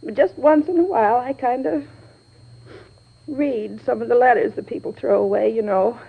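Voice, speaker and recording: A shaken, talkative cleaning woman in a vintage radio play. Her voice is fairly high and crackles with fry. She rambles on in folksy, confiding fashion through a slightly dull old recording. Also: normal speed. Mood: confiding, nervous. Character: chatty, shaken night cleaning woman, gossipy and nervous. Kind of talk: old-time radio drama acting.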